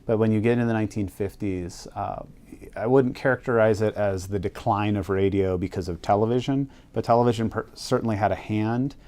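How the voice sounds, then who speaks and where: A man's voice: lowish voice, dark tone. A man talking in scholarly, carefully worded fashion in a documentary interview. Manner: scholarly, measured wording.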